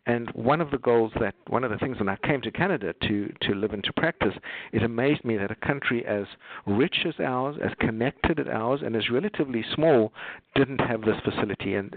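A middle-aged man with a South African accent presents to a remote audience and talks slightly low. He hesitates often, restarting phrases and filling gaps with ums. The audio is dry and narrow, like a phone line. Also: dry recording. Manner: halting, many fillers and restarts. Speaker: obstetrician presenting on a webinar. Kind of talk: unscripted webinar presentation.

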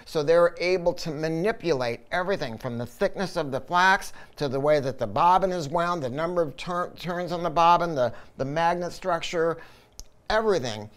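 A man's voice: on the high side, moderate pace, clear, resonant tone. A man presenting a product review, explaining technical details in a casual, emphatic, conversational way.

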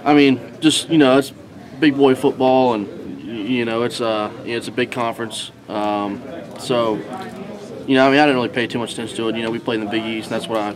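A young man as a college football player, answering reporters in a halting way with many fillers and restarts, recorded on handheld recorders held close to him. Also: mid-range voice, steady tone, a crowd in the background. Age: young adult. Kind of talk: post-practice media scrum answers. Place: indoor hallway, crowd of reporters.

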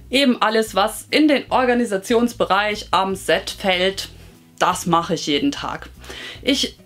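A woman's voice: medium pitch, fairly bright tone. A woman of thirty from Hesse with a slight Hessian German accent, chatting casually and informally to camera as she answers viewer questions. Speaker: beauty YouTuber.

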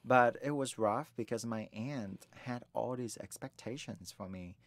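A man in his twenties from Vietnam with a Vietnamese accent, telling his life story in a candid conversation, earnest and halting, often restarting his sentences. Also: medium pitch. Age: 20s. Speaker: interviewee.

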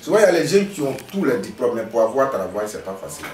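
A man's voice: medium pitch, very animated, fast talker, a little mellow, echoey room, recorded from a distance, a little hiss or hum. A man in his fifties, a traditional healer, speaking in an earnest, repetitive, self-promoting way in a casual interview on a veranda. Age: 50s. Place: outdoor veranda by a house wall.

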